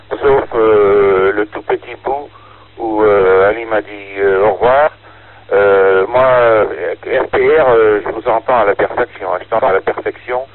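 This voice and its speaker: Older man, deep-voiced, on thin radio link. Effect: two-way radio. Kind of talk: amateur radio contact, casual exchange with call signs. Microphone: radio transceiver microphone. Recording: narrow, lo-fi two-way radio link.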